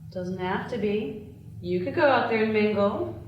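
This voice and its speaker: A woman's voice: medium pitch, moderate pace, highs cut off, close to an everyday voice. A woman reading the part of a brash, bossy young criminal in a screenplay table read, blunt and teasing. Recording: echoey room, distant mic, background noise.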